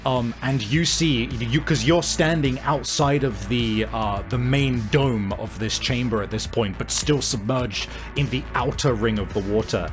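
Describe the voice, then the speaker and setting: A man acting as game master, narrating and explaining rules in a halting, explanatory way, repeating words for emphasis. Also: medium pitch, over music. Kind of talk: improvised tabletop game narration and rules talk.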